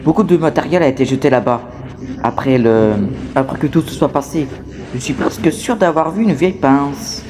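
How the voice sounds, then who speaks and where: A man's voice: fairly high pitch, normal speed, background noise, lightly acted. A man voicing a scrappy, talkative toy outcast, wary but scheming, in a fan dub of a horror game. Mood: resolute. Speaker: amateur voice actor dubbing a game character.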